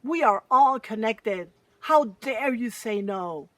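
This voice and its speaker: An ancient mountain spirit, furious and scolding, his voice swelling loud as he rebukes the trees, as a woman storyteller puts him on. The sound is dry and a little distant from the mic. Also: expressive, takes it slowly, dry recording. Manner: booming, scolding.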